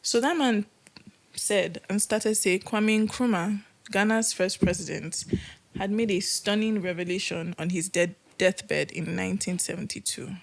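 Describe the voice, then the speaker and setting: A woman's voice: medium pitch, a little slow, vocal fry. A young adult woman from Nigeria hosting a podcast, telling a story from a script in casual chat and laughing often.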